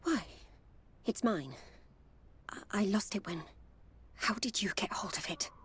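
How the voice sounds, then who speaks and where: A wary courtesan in a video game. She speaks at a middle pitch, worldly and guarded, like someone careful about every client. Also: expressive, a little slow, slightly rough. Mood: nervous, pleading.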